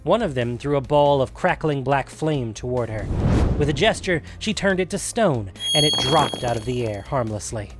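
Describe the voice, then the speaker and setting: A man's voice: mid-range voice, animated, moderate pace. Male narrator, mid-range voice, dramatic fantasy storytelling with faint music underneath.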